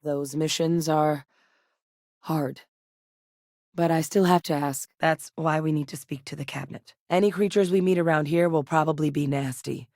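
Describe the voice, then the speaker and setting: An actress playing an earnest, guarded military scientist in a space video game, speaking in a measured, articulate, serious way. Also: female voice, deep voice, steady tone, a little mellow, no room sound, clean studio sound. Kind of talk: video game character voice lines.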